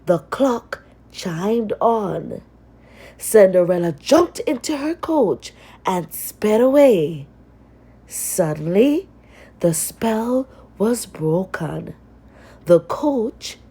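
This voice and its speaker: A woman reading a children's story aloud as scripted narration, expressive and with dramatic pauses. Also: mid-range voice, gravelly, breathy edge.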